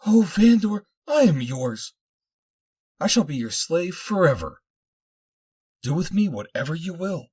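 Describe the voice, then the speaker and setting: A man voicing an impassioned young woman, pitched up. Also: very animated, moderate pace, breathy edge. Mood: hysterical joy. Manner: fervent, declarative, formal old-fashioned phrasing. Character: young woman, freed captive, devoted, emotional, impulsive.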